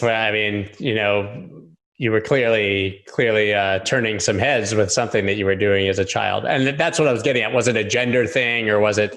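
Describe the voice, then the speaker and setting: A man's voice: on the low side, a bit bright. A man hosting a casual interview, asking curious, rambling questions with repeated words. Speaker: podcast host.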